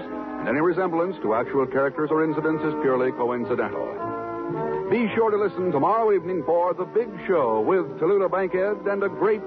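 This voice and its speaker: A man's voice: a little high, narrow, tinny audio. A man announcing from a script in 1950s radio style, on an old broadcast. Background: loud music.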